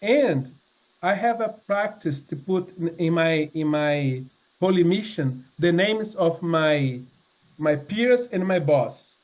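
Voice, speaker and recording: A man in his sixties with a notably high-pitched voice gives a sincere personal testimony. He talks haltingly, stopping to restart phrases and filling pauses with "uh" and "um". His delivery is plain and earnest rather than polished. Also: animated. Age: 60s. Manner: halting, many fillers and restarts. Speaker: member giving a testimony. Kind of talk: personal testimony talk with slides.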